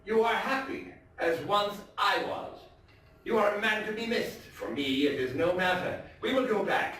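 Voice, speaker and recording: A man voicing a sly, vengeful nobleman who feigns flattering concern, in a theatrical reading of a gothic story. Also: high-pitched, pitched above the performer's own voice. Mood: coaxing, flattering. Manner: solicitous, insinuating, deliberate. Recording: roomy distant stage recording, faint noise.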